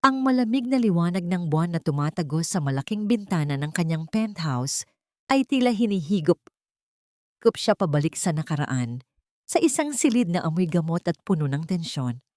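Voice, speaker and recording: A Filipino woman reads a dramatic story in a lowish voice. Her delivery is measured and solemn, and the recording is dry and close, with very little room sound. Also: sing-song, dry recording. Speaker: audiobook narrator. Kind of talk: dramatic scripted story narration.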